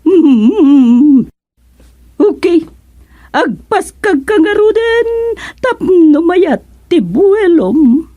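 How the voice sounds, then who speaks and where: A woman playing a scheming, bossy aunt in a Filipino radio comedy drama, with a Filipino accent and a cackling, drawn-out delivery. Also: extremely high pitch, very animated, dark tone, no echo, exaggerated voice. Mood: sly, commanding. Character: scheming, cackling aunt who orders her nephew about.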